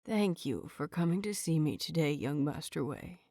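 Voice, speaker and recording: A woman voicing a gentle, frail old male teacher who speaks quietly and lower than her own voice. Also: animated, normal speed, dry recording, studio quality. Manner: soft and quiet.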